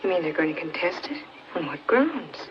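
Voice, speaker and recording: A woman's voice: fairly low pitch, very animated, normal speed, lo-fi sound. Earnest young woman, very noisy film sound. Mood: indignant. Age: young adult. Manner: well-spoken, polite. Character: earnest young woman, polite granddaughter, quietly indignant. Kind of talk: 1940s film drama acting.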